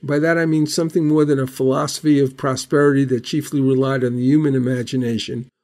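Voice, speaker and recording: A man reading an earnest, wordy, reflective scripted narration as the founder pitching his project. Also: little pitch movement, fast, dark tone.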